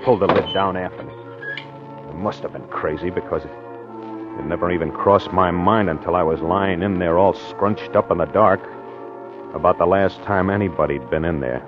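A low-voiced, tormented husband played by a male actor broods earnestly through a confessional radio drama. He is jealous and wrought up. The old recording is muffled, with music clearly behind him. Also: moderate pace, natural voice. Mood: brooding, tormented. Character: jealous, tormented newlywed husband narrating his downfall.

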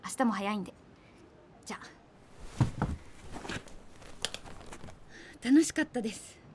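A woman's voice: medium pitch, animated, fast, noisy background, close to an everyday voice. An actress playing a cool-headed young woman in a radio drama, politely hedging yet blunt as she rebuffs someone. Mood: polite, settled. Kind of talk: naturalistic radio drama dialogue.

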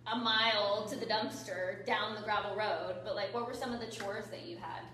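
Bright upbeat host in an echoey room. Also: female voice, medium pitch, fairly even intonation. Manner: upbeat, fast, says "like" a lot. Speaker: host, yoga teacher. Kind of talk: podcast interview hosting. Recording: very echoey room, far from mic.

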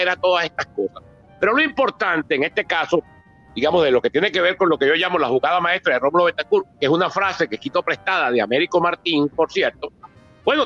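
A man's voice: sits high, sharp tone, no echo, highs cut off. A Venezuelan man in his seventies giving an impromptu public talk on history, emphatic and repeating phrases for stress. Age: 70s. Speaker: author and politician presenting his book. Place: theatre book presentation.

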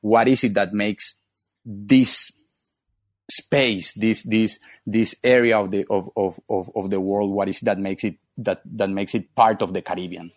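Halting low-voiced man from northern Colombia. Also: fairly even intonation, no room sound, studio quality. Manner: halting, many repeated words and restarts. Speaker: historian, author and guest. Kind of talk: academic interview.

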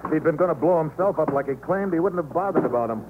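An actor playing a tough, sardonic government agent with clipped, slangy delivery in a 1950s radio adventure. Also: male voice, fairly high pitch, lively intonation, quick pace, close to an everyday voice. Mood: tense, sardonic. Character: tough, wisecracking male government agent hero, urgent and sardonic. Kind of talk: 1950s radio adventure acting with first-person narration. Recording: muffled, very noisy old radio recording.

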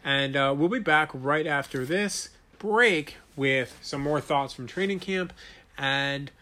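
A man's voice: a little high, slow, sharp tone. A man in his late thirties hosting a solo sports podcast, talking conversationally and emphatically, repeating points to stress them. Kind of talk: solo sports podcast commentary with host-read ads.

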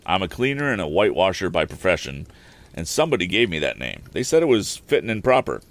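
A man's voice: low-pitched, sing-song, quick pace, dry recording. Man doing a folksy old handyman. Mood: folksy, deferential. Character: rustic older male mule-driving handyman.

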